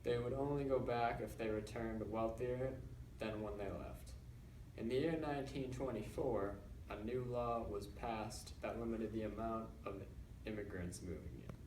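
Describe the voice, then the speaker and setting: A young man reading a scripted school newscast report in a halting, recited manner. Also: on the low side, darkish tone. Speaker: student news presenter in a class project. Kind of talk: scripted narration read as a newscast. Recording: roomy, distant mic with background noise.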